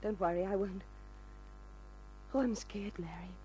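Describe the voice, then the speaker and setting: Glamorous model in trouble, breathy n pleading, very dramatic old radio crime show, bit of music. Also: female voice, mid-range voice, measured pace, mellow, poor audio. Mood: frightened, pleading. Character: seductive, desperate glamorous female fashion model.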